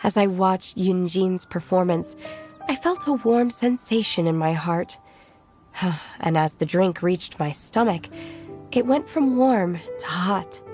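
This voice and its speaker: A woman voicing a calm, stoic young disciple of immortals in a video game, formal and measured. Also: on the low side. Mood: wistful. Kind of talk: video game character acting. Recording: music playing behind.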